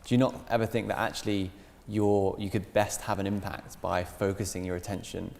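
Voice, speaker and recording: Male questioner at a talk: deep, creaky voice, halting delivery, reverberant hall with some background noise. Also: level tone, darkish tone. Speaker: audience questioner. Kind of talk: posing a challenging question. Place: large debating hall, indoors.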